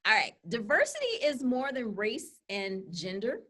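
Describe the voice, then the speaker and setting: A woman's voice: fairly high pitch, wildly swooping pitch, normal speed, pushed and bright. Woman co-host, chatty webinar presenter, laptop webcam call audio. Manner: chatty, full of fillers like "you know" and "okay?". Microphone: laptop webcam on a video call.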